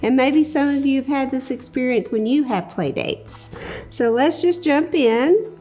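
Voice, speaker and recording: A woman reading a children's picture book aloud with an animated storybook delivery, chatting to young viewers in between. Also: sits high, unhurried, noisy background.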